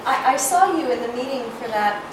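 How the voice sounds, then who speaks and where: Woman acting an earnest high-voiced younger sister, very echoey. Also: animated, brisk. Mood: flirtatious. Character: earnest, easily delighted younger sister, wealthy New Yorker. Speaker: actor. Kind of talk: cold-read screenplay scene reading. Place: large room at a live staged reading. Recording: echoey hall, distant mic, noisy.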